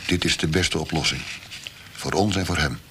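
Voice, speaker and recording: A man's voice: rumbling low, moderate pace, pushed and bright, a little hiss or hum. An actor playing a cold, businesslike male interrogator in a radio drama, speaking in a terse, matter-of-fact way. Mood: cool, conspiratorial.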